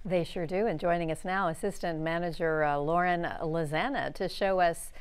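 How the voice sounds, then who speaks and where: A woman's voice: fairly low pitch, fairly even intonation, moderate pace, clean tone. A middle-aged woman co-hosting a TV kitchen segment in a conversational interview style. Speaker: TV show co-host. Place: TV studio kitchen, indoors.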